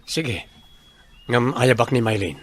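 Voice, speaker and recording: A man's voice: medium pitch, animated, takes it slowly. An actor playing a casual young male friend in an Ilocano radio drama, speaking with an Ilocano accent. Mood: casual, curious.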